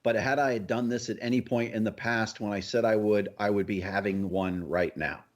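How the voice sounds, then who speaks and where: A man with a fairly low voice co-hosts a podcast. His delivery is informal and conversational, like chatting across a table with a friend. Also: fairly even intonation, normal speed. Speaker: podcast co-host. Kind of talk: casual podcast chat.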